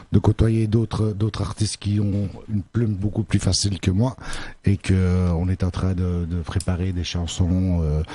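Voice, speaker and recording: A man's voice: low-pitched, warm tone. A middle-aged man, a guest singer on a radio show, friendly and a bit hesitant, often repeating words. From Belgium, born in Sicily. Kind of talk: radio interview. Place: local radio studio.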